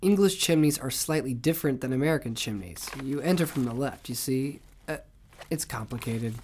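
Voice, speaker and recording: A man playing a nervous, earnest, soft-hearted young Santa in a Christmas story. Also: a little fast, slight background noise, lightly acted. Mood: muttering. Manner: muttering to himself, hesitant, booming out ho-hos. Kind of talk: character acting in a Christmas audio story.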